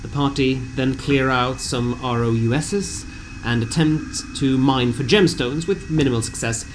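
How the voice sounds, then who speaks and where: A man reading a scripted fantasy game recap as a storyteller, with deliberate, theatrical phrasing. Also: mid-range voice, steady tone, background noise. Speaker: narrator of a tabletop game session recap. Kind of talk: scripted dramatic storytelling narration. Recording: music bed behind the voice. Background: background music.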